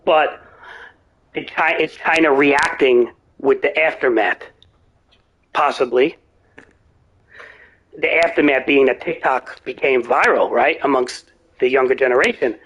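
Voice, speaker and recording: A young man streaming a game, rambling and ranting, swearing now and then, his voice going hoarse. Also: medium pitch, measured pace, pauses often, a bit bright, dull audio. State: voice getting hoarse. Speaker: gaming streamer. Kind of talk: rambling live-stream chat.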